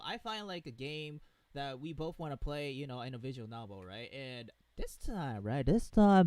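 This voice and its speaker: A man's voice: sits high, a little mellow, no echo. A man hosting a live stream with casual banter, playful and exclamatory as he hypes things up.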